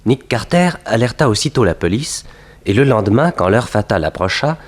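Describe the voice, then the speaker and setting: Casting: male storyteller for 1960s radio serial, fairly low, very animated delivery. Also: brisk, slightly rough. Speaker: narrator. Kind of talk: dramatic scripted narration in 1960s French radio drama.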